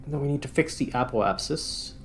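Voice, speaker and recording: A man's voice: medium pitch, a little fast, faint music behind. A man giving casual gameplay commentary, thinking aloud as he plays. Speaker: gaming video host.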